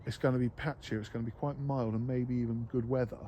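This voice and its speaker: A man's voice: mid-range voice, fairly even intonation, fast talker, dark tone, slight background noise. A man vlogging outdoors in a nature area, chatting casually and rambling, laughing often. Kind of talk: casual chat.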